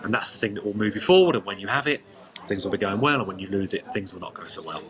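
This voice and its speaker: A man with a fairly low voice gives an explanatory tarot reading. He speaks deliberately, leaning on key words and pausing between phrases. Clear chatter of people sits behind him. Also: animated. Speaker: tarot and numerology reader.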